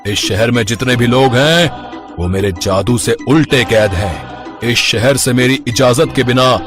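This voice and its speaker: A man's voice: mid-range voice, a little slow, lightly acted. Man playing a boastful, menacing evil cartoon sorcerer, music behind. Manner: taunting, domineering. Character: boastful, menacing evil male sorcerer who torments a town. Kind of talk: Hindi children's cartoon story dub.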